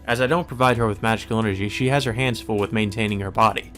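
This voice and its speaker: A man's voice: on the low side, steady tone, fast talker, fairly bright tone. A man reading a visual novel aloud as a let's player, mixing plain narration with casual, wry asides. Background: music.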